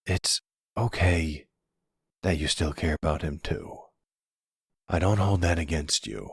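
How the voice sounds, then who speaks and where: A man voices a protective young-hearted mafia boss boyfriend in an intimate roleplay drama. His low, husky voice sits close and dry, and he talks in a measured, reassuring, earnest way. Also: no echo, exaggerated voice. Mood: tender. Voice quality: deep, husky. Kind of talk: ASMR audio roleplay drama.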